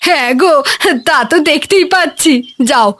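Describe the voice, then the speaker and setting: A woman doing a shrill, greedy cartoon wife. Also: moderate pace, a bit bright. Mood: scheming. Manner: shrill, melodramatic, whining. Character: greedy wailing wife of the hotel keeper. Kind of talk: Bengali cartoon dubbing.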